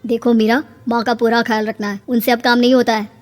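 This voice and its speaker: A woman voicing a cheerful, devoted and oblivious young husband in an animated story, earnest and matter-of-fact. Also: on the high side, quick pace, long reverb tail, soft background music, close to an everyday voice. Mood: instructing.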